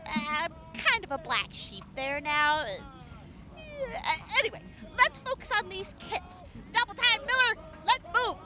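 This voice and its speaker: A bossy young field doctor, played by a woman, snaps out orders in a very high voice that jumps all over the place. Loud noise sits behind her in the recording. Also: very animated, measured pace, exaggerated voice. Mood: brisk.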